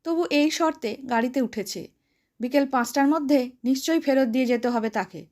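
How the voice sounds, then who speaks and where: A female storyteller with a distinctly high voice reads a short story aloud. Her narration is expressive, in the manner of someone telling a tale to listeners. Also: moderate pace.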